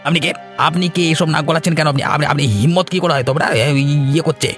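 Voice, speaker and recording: Cartoon folk tale: shifty, cowardly village schemer male actor, rapid whiny bluster, music behind. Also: a little high, a bit bright, close to an everyday voice. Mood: indignant. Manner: blustering, whiny, stammers when cornered. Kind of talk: animated folk tale voice acting.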